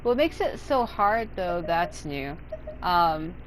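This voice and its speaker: A woman's voice: on the low side, sing-song, slow, slight background noise, rough recording. A woman giving casual, rambling gameplay commentary as a streamer, sounding a bit tired and unwell. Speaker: gaming streamer. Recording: game music behind the voice. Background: video game music.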